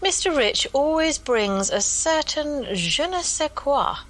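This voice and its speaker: An actress playing a snooty, spiteful older wife with an affected, disdainful drawl in an audio drama. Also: female voice, high-pitched, lively intonation, takes it slowly, a bit bright, full character voice, rough recording.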